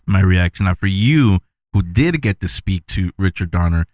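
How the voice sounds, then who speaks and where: A man's voice: deep voice, animated, normal speed, a little mellow, no echo, clean studio sound. A man hosting a podcast, asking long, rambling, enthusiastic questions. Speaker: podcast host. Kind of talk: casual interview chat.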